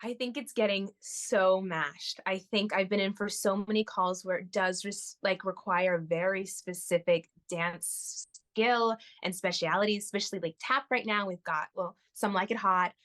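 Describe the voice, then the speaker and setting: A woman's voice: medium pitch, fairly even intonation, moderate pace, few pauses, fairly bright tone. A young woman from Florida is a guest on a podcast. She talks in a chatty, upbeat way and laughs a lot. Her voice sits in an ordinary middle range. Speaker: guest, touring musical theater performer. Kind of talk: casual interview chat.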